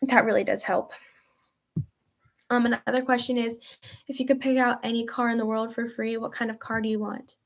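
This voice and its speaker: A woman's voice: fairly high pitch, flat delivery, a little fast, gravelly, pauses often. A teenage girl in a casual vlog, answering viewer questions in a chatty, rambling way with lots of ums and likes. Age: teens. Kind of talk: casual chat.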